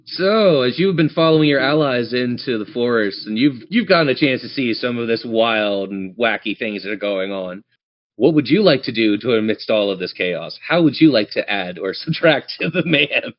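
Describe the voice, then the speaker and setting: A man's voice: mid-range voice, expressive, normal speed, dull audio. A man running a tabletop game as game master, narrating the action and chatting encouragingly with players.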